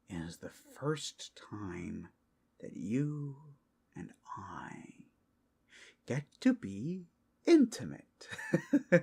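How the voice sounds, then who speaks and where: A man playing an obsessive, lovesick captor in an intimate roleplay ASMR, halting and often whispering, both tender and unsettlingly intense. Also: mid-range voice, full character voice. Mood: giddy, manic. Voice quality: breathy, husky, often whispering. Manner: halting, swinging from hushed tenderness to giddy intensity. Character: obsessive, lovesick male captor, creepy and doting.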